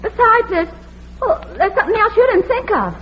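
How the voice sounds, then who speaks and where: An innocent, distressed young sweetheart in an old radio melodrama. Her very high voice is plaintive and flustered. The recording is dull with some hiss. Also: sing-song, fairly quick. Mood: defensive. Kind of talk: vintage radio melodrama acting. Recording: muffled, hissy vintage radio recording.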